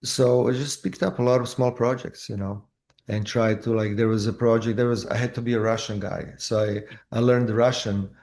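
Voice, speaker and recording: A man with a Croatian accent, an actor telling his story in a casual interview, halting with many fillers and restarts. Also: mid-range voice, steady tone, a little mellow. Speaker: actor guest.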